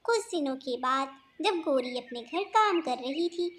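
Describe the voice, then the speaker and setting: A woman's voice: sits high, animated, a little slow, very noisy. A woman giving scripted narration for a children's cartoon story.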